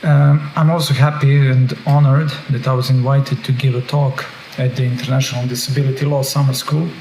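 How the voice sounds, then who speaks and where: A man from Serbia, a doctoral researcher giving a prepared academic lecture by video call in a measured, formal way. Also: medium pitch, level tone, a little mellow. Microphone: joining by video call. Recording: echoey call audio with faint noise.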